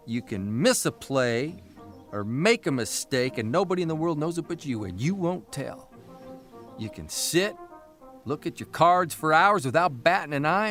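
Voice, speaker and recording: An actor playing a weary, wry 1930s American newspaper columnist in an audio drama. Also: male voice, fairly high pitch, fairly bright tone. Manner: exasperated, dry, wry. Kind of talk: naturalistic audio drama acting.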